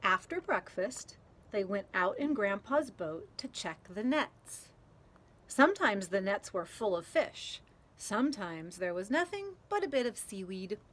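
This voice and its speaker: A woman reading a children's picture book aloud outdoors in a gentle, deliberate way, pausing between phrases. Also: mid-range voice, big pitch swings. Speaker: story reader. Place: outdoors, garden. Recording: clear outdoor background noise.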